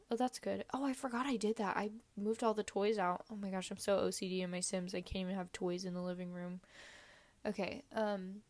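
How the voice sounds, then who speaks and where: A young woman with a hoarse voice, sick and under the weather, chatting casually through a game voiceover, rambling with lots of fillers. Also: medium pitch, no echo. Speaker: video creator.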